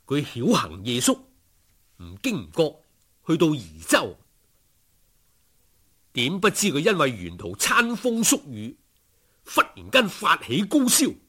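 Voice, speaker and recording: A male storyteller narrates a folk tale in colloquial, dramatic fashion. His mid-range voice swings widely in pitch, and he takes his time over each phrase, with a husky grain. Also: bright, forceful tone.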